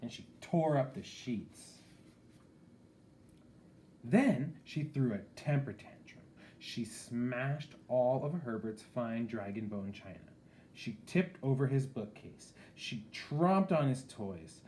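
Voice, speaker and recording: Slow, very lively man reading a bedtime story. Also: medium pitch, slight background noise. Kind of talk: expressive picture-book reading with casual asides.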